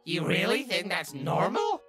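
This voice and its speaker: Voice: mid-range voice, huge pitch swings, measured pace, fairly bright tone, faint music behind, exaggerated voice. A performer voicing a sly, obsessively curious little talking flower in a comic dub, brooding and easily exasperated. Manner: brooding, scheming, bursting into exasperated outbursts. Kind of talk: comic dub character narration and dialogue.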